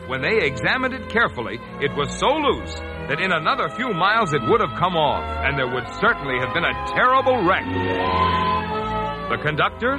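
A man narrates a children's story in a measured storytelling way. His voice sits a little high and moves with lively intonation, and music plays behind him.

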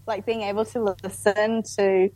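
A young woman guesting on a radio show, talking in a relaxed, casual way with lots of filler words. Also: mid-range voice, moderate pace, no echo, poor audio.

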